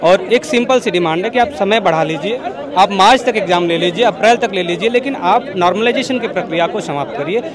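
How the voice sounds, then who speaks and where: A man's voice: high voice, expressive, brisk. A man who teaches at a coaching institute, speaking earnestly in a street interview. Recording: crowd noise outdoors.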